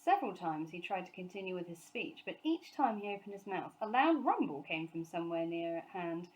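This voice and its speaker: A woman reading a children's story aloud as scripted, expressive narration. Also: lowish voice, brisk, low-quality recording. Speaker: story reader, teacher.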